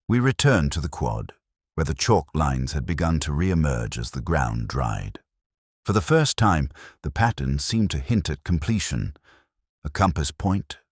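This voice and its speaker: Very deep-voiced brooding detective narrator, acted by a man. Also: no room sound, studio quality, heavily acted. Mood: uneasy, pensive. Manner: measured, reflective, literary phrasing. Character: brooding, literary male police inspector, melancholy and doubtful. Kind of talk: scripted first-person detective mystery narration.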